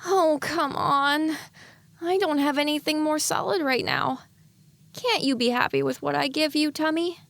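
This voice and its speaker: A woman's voice: high-pitched, a little slow, creaky, fairly bright tone, no echo, full character voice. A woman voicing an anxious but hopeful young filly pony in an audio drama, questioning herself as she thinks aloud. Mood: perky, muttering.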